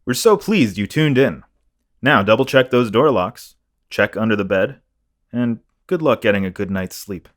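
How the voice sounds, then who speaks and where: A man's voice: on the low side, expressive, normal speed. A man hosting a spooky audio show, reading a scripted sign-off to listeners. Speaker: host.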